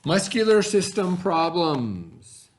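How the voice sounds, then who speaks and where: A middle-aged man reading a lesson aloud in an instructional way, pausing to explain and repeat key terms. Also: sits high, sing-song. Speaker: teacher reading aloud to children.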